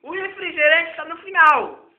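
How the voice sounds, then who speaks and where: A hoarse man with a very high voice rambles through an improvised computer lesson, circling back over his own points. The recording is thin and phone-like, in a roomy space with lots of echo. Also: animated, moderate pace. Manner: rambling and repetitive. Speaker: self-taught computer tutor. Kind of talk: improvised spoken tech lesson.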